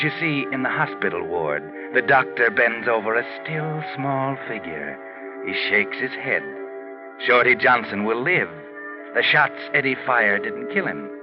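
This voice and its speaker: An actor playing a sardonic, ominous American mystery storyteller on a 1940s radio show, mocking and deliberate. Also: male voice, lowish voice. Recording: muffled 1940s radio recording with music. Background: music.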